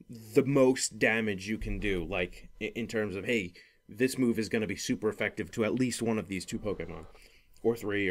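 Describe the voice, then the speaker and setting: A man chatting casually about gaming as a podcast host, in a rambling, hesitant way with frequent restarts. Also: lowish voice. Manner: hesitant, rambling, often repeating and restarting phrases.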